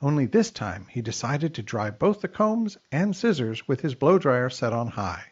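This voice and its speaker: A man's voice: mid-range voice, big pitch swings, normal speed, slightly rough, highs cut off. An actor playing a folksy male coroner explaining how a death happened.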